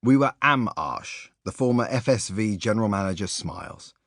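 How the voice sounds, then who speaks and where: Expressive low-voiced male audiobook narrator. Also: moderate pace, dry recording, studio quality. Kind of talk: scripted narration of a sports biography.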